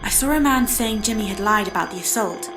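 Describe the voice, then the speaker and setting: A woman's voice: medium pitch, animated, fairly quick, over music, lightly acted. An actress playing an inquisitive young woman who questions plainly and directly in a horror game. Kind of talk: horror game dramatic acting.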